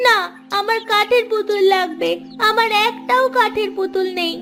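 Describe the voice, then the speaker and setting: A woman's voice: extremely high pitch, normal speed, over music. A woman voicing a pleading, insistent little daughter in a cartoon, childlike and whiny. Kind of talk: cartoon voice acting.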